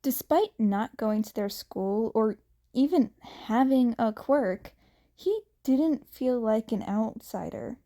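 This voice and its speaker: A woman narrates a fan fiction audiobook in a high, mellow voice. Her reading is very slow and careful, with lively rises and falls.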